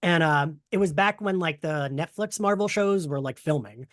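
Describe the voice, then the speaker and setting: Brief: chatty male podcast host, high voice, close dry mic. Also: no room sound. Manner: chatty and quick to laugh. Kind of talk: casual interview chat.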